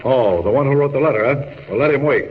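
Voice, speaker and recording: A man's voice: mid-range voice, quick pace, lightly acted. Guy acting as grumpy impatient newspaper boss, old radio serial, muffled echoey sound. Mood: harried, gruff. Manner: curt, blustery, blunt. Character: gruff, harried, impatient male newspaper editor. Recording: muffled, noisy, reverberant vintage radio recording.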